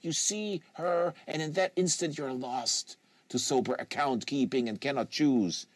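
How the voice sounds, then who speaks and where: A man's voice: medium pitch, a little slow, slightly rough, no room sound. A man voicing a weary, sardonic American field agent in a novel reading, with dismissive, needling, colloquial delivery. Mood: challenging, sardonic.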